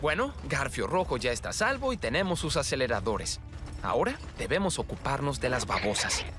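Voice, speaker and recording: Eager teenage cartoon hero, Latin American. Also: male voice, mid-range voice, big pitch swings, brisk. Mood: earnest, resolute. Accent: Latin American Spanish. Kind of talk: animated series dubbing. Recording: loud music behind.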